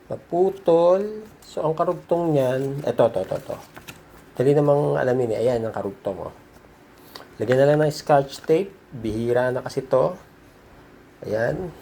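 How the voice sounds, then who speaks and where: Male Filipino vlog host, casual show-and-tell, unhurried with some fry, noticeable noise behind him. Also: medium pitch, dark tone. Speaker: host of a vintage audio video channel.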